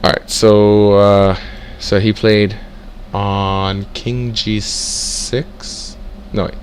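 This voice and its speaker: A young man with a lowish voice talks through a chess lesson, reading out moves one by one and breaking into excited exclamations. A faint air-conditioner hum sits under his voice. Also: drawn-out words. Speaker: chess tutor. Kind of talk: casual screen-share lesson, thinking aloud.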